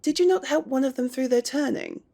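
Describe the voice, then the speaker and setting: An actress playing a stern, formal female council elder who speaks in a measured, commanding way. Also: high voice, gravelly, mellow. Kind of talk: audio drama acting.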